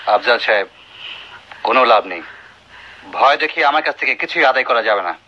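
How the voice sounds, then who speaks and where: A man's voice: medium pitch, expressive, fairly quick, close to an everyday voice. A man acting in a melodramatic radio play as a principled, grieving doctor, emphatic and emotional, on a dull old recording. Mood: defiant. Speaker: radio drama actor.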